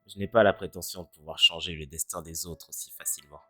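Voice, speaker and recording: A performer voicing a cynical, self-deprecating teenage boy in a French fan dub who speaks in a deadpan way. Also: deep voice, fast, no echo. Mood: earnest. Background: faint music.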